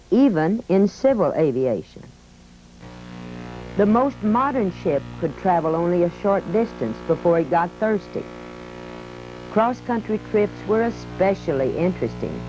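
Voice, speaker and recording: A woman's voice: lowish voice, dark tone. A woman voicing an old retired army biplane who tells its story in a folksy, reminiscing way, with an American accent, in scripted museum narration. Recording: dull lo-fi audio with faint music.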